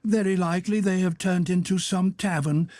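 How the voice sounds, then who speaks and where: A man reading aloud and voicing a sly, greedy young female cook in a high, wheedling voice. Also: fairly even intonation, moderate pace, dark tone, no echo, clean studio sound, lightly acted. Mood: tipsy scheming. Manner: wheedling, talking to herself.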